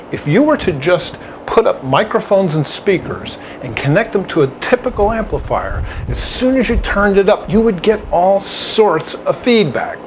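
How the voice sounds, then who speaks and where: A man presenting a scripted product overview in an instructional, emphatic, clearly structured way. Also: sits high, noisy background. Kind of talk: scripted explainer narration to camera.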